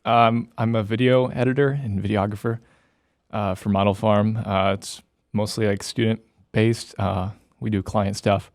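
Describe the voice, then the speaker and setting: A man's voice: on the low side, steady tone, a little slow, no room sound. A young man from Iowa, a student videographer, answering interview questions hesitantly with lots of fillers in a podcast studio. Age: young adult.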